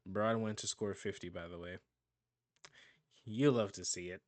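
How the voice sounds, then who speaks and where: A man's voice: deep voice, fairly even intonation, moderate pace. A man giving casual, rambling reaction commentary on a video, often restarting and laughing. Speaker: YouTube reaction host.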